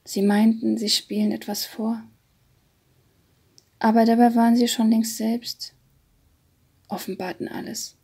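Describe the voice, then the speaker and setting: A woman narrates a literary audiobook in a hushed, half-whispered tone. Her voice is soft and mellow, very breathy, and stays fairly even in pitch. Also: a little slow, pauses often.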